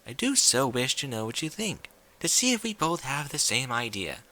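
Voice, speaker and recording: A man voicing a refined young female pony from a cartoon world in a story reading, with genteel, theatrical, gently prodding diction. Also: mid-range voice, sing-song, moderate pace. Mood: coaxing.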